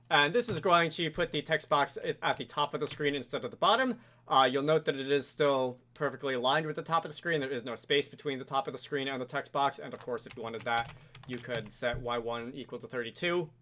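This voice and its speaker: A man's voice: fairly high pitch, fairly quick, pushed and bright. A man presenting a casual, conversational programming tutorial, explaining things step by step in an informal way. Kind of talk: casual screen-recorded coding tutorial.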